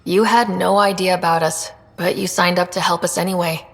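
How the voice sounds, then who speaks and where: A woman's voice: on the low side, steady tone, brisk, gravelly. A woman playing a friendly, frank young spaceship leader in a video game, with a direct, easygoing way of talking. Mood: friendly, probing. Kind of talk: video game dialogue acting. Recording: reverberant room with music behind.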